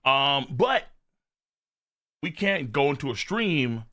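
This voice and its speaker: A man hosting a livestream, chatting casually in a loud, animated, rambling way with lots of swearing and a slightly strained throat. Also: medium pitch, slow. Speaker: live streamer, host. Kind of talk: casual livestream chat, reading viewer messages.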